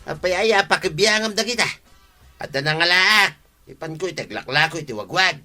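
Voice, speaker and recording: A man's voice: high voice, expressive, a little fast, bright, forceful tone, soft background music, exaggerated voice. An actor with an Ilocano accent playing a defiant, evasive village man in a radio drama. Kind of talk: comedy radio drama acting.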